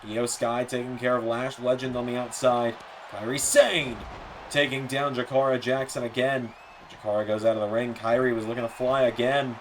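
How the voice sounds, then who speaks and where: A man doing play-by-play commentary for a wrestling video game, his voice a bit hoarse and softer than usual, with slips and self-corrections. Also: mid-range voice, slight background noise. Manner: rapid play-by-play, rising excitement on near-falls.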